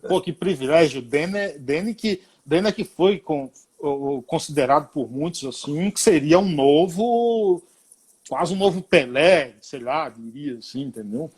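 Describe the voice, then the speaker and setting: A man's voice: on the high side, lively intonation, a little slow, a little mellow. A man hosting an interview, asking questions in a chatty, enthusiastic way. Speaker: interview host. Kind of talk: casual interview chat.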